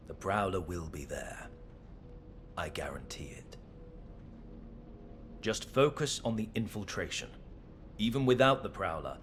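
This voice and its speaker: An actor playing a grave, calculating male schemer in a video game, speaking in a solemn, measured, deliberate way. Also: deep voice, slight background noise. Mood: urgent, commanding. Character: grave, calculating male schemer staking his life on revenge. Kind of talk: dramatic video game dialogue.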